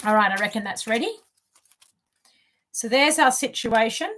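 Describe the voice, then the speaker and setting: A middle-aged Australian woman speaks informally to camera as a spiritual mentor and tarot reader. Her voice is fairly high and clearly bright, and she repeats phrases for emphasis. The microphone sits a little way off from her. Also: lively intonation, moderate pace. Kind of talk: informal spoken guidance to camera. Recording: distant microphone.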